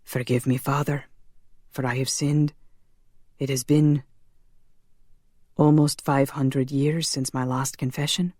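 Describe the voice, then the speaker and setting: Narrator as a grim, slow-spoken male vampire warrior. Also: mid-range voice, level tone, darkish tone, dry recording, clean studio sound. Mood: remorseful.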